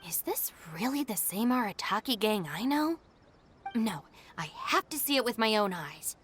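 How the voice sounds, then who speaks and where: An actress voicing a composed, courteous young gang deputy in a video game, measured and polite. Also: female voice, on the high side, sing-song, slight background noise. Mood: incredulous.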